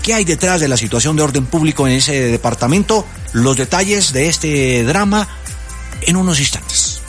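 A man with a Colombian Spanish accent anchoring a midday radio news show, lively and personable, with frequent fillers as he talks. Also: fairly high pitch, normal speed, soft background music. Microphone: studio microphone.

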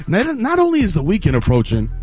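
A middle-aged man with a New York accent hosting a morning radio show segment, talking casually with slang and quick laughs. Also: high voice, faint music behind. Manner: animated hype-man delivery with slang and laughs.

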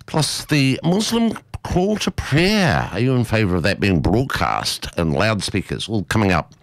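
Aussie bloke doing talk radio, big voice, really up and down, chatty n playful, dry studio sound. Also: male voice, on the low side, very animated, measured pace, no room sound. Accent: Australian. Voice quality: big, strong voice. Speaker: radio presenter.

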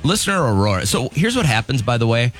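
Lively fifty-something man hosting morning radio. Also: mid-range voice, quick pace, faint music behind. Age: 50s. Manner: chatty, laughs easily. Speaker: radio co-host. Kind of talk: casual morning radio chat.

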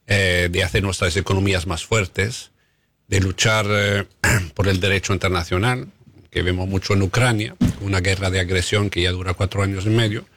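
A man speaking as a diplomat guest on a radio show, measured and careful, hesitating often, Italian his first language, on a studio microphone. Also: low-pitched, steady tone, a bit bright. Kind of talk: radio interview answers.

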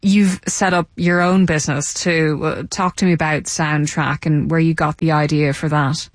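A woman radio presenter hosting a broadcast interview, asking measured, probing questions. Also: low-pitched, fairly even intonation, no room sound. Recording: dry studio sound.

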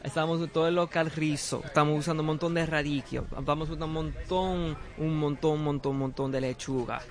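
A young man from Chicago with a Puerto Rican Spanish accent, a culinary student being interviewed outdoors, earnest and warm, repeating words for emphasis. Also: fairly high pitch, steady tone, fairly quick. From Chicago, of Puerto Rican heritage. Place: outdoor parking lot.

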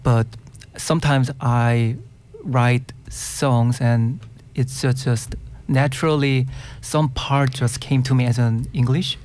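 A man's voice: medium pitch, steady tone, slow, a little mellow. A man from South Korea with a Korean accent, a native Korean speaker, answering interview questions as a singer-songwriter, hesitantly searching for words in English.

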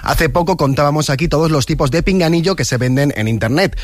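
A man with a somewhat high voice reads a news item with wry asides. The sound is dry and close. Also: quick pace, no room sound. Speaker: reporter. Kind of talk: scripted news report with jokes.

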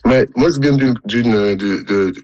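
A man's voice: medium pitch, unhurried, darkish tone, no echo, muffled recording. A man from Congo, a musician guest on a radio interview, answering with many hesitations and restarts.

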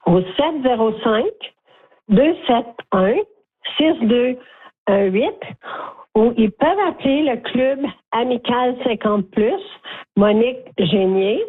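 A woman's voice: on the high side, breathy edge. Chatty Franco-Ontarian woman on a phone line. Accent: Franco-Ontarian French. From Northern Ontario, Canada. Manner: chatty, emphatic, peppers speech with "OK?". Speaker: guest, caregiver group facilitator. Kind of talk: phone interview on radio.

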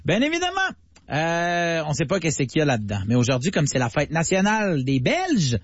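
A man's voice: on the high side, huge pitch swings, moderate pace, dry recording, lo-fi sound. A man with a Quebec French accent hosting a radio show with casual, joking, colloquial banter. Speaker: radio host.

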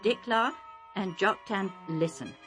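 A woman voicing a calm, firm old male chieftain in a children's story, speaking slowly with measured authority. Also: medium pitch, faint music behind, natural voice. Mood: stern, calm.

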